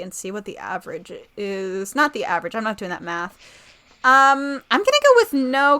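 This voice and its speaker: A woman's voice: mid-range voice, bright, forceful tone. A woman podcast co-host chatting casually about a TV show in an informal, rambling way, often correcting herself.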